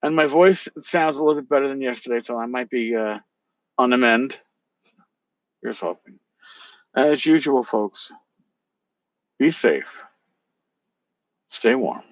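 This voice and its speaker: A man's voice: mid-range voice, measured pace, long pauses, no room sound. A man hosting a solo podcast in a casual, blunt, sarcastic and sometimes profane way. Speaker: podcast host. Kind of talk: casual solo podcast with dramatic reading aloud.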